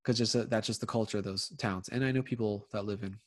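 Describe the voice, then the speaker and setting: A man's voice: lowish voice, fairly even intonation, fast. Rambling man from Connecticut, chatting. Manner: rambling, lots of fillers and restarts. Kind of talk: casual chat.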